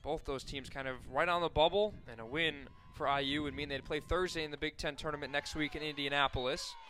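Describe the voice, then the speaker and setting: An American man calling live softball play-by-play as a radio announcer, conversational and ready to lift with the action. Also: on the high side, brisk. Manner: conversational between plays, excited calls on action.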